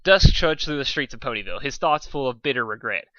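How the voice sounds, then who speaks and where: A man's voice: medium pitch, animated, fast talker, bright, forceful tone, no room sound, lo-fi sound. A man reading fan fiction as an informal dramatic storyteller, often stumbling over his words.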